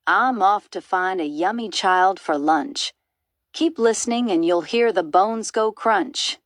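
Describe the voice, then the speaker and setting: A woman's voice: medium pitch, a little slow, dry recording, clean studio sound, close to an everyday voice. A woman voicing an enormous greedy, boastful crocodile in a storybook, gloating and savouring every word.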